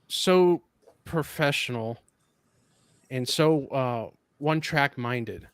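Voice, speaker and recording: A man who reviews movies, speaking as a guest in thoughtful, measured talk that pauses to find words. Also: medium pitch, fairly bright tone, dry recording. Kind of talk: casual discussion.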